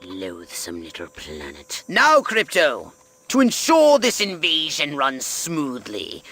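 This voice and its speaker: A man's voice: a little high, huge pitch swings, takes it slowly, bright, forceful tone, heavily acted. A man voicing a haughty, irritable alien mastermind in a video game, with pompous, precise, sarcastic delivery. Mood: haughty, commanding. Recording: faint music behind.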